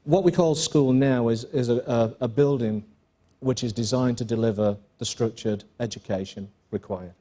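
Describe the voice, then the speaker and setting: English man, middle-aged, school principal type, mellow and measured, dry wit. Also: mid-range voice. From England. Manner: measured, explanatory, dry jokes. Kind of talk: panel interview on stage. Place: conference stage.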